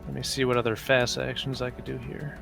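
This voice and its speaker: A man's voice: mid-range voice, level tone, brisk, creaky, loud music behind the voice. A man chatting casually as a player in a tabletop roleplaying game.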